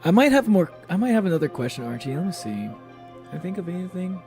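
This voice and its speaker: A man livestreaming a video game, chatting casually and haltingly with viewers, with informal, sweary speech. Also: high voice, sing-song, dark tone. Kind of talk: casual chat with stream viewers. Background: game music.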